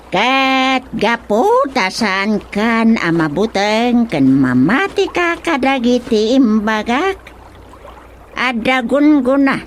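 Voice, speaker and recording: A woman playing a playful, commanding talking crocodile in a radio drama, drawing out her words theatrically. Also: a little high. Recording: clear background noise, water ambience.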